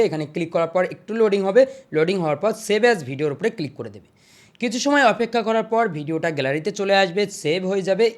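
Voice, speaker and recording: A tutorial host narrating screen steps in an instructional way, repeating each step before moving to the next. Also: mid-range voice.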